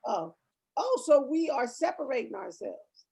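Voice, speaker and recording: Casting: American woman host, high-pitched yet mellow and husky, affirming, asks short probing follow-ups. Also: sing-song, measured pace. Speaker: podcast host interviewing a guest. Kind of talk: conversational interviewing.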